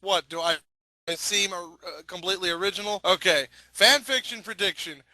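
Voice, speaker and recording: High pitched guy, really bright sharp voice, casual banter in a group. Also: normal speed, no room sound. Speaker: guest commentator in a group reading.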